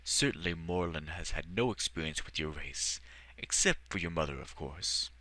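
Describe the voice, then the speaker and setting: A man voicing a wise old elf mage who speaks in a measured, formal and gentle way. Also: low-pitched, lively intonation. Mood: calm.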